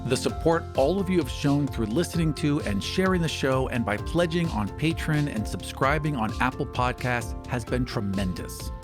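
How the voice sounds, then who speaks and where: Articulate man with a middling-pitched voice. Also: lively intonation, normal speed, few pauses, over music. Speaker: show co-host and player. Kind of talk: tabletop role-play talk and sincere appeal.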